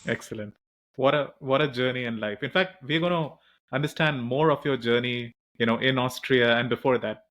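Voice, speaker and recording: A man's voice: medium pitch, moderate pace, a bit bright. A man hosting a podcast interview, asking questions and giving short attentive backchannels. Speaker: podcast host.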